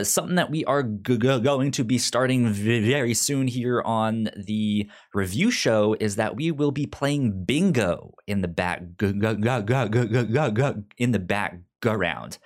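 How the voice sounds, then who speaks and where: A man hosting a casual podcast, talking loosely with many fillers and frequent stuttered repeats of opening sounds. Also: medium pitch. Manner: filler-heavy, relaxed. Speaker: podcast host.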